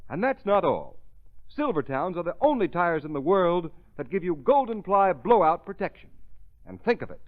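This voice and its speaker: A male announcer from 1930s radio delivers his script with dramatic emphasis. His voice sits fairly high and swings widely, on a muffled old broadcast recording. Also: very animated, moderate pace. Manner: emphatic, dramatic, crisply enunciated.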